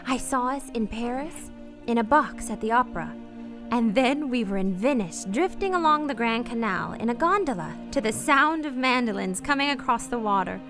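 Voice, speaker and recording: Wistful married lady in a radio romance, high voice, torn and hesitant, music playing behind her. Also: animated, moderate pace. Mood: dreamy, wistful. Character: Laura, married woman torn by forbidden love, wistful, restrained. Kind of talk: radio play acting with first-person narration.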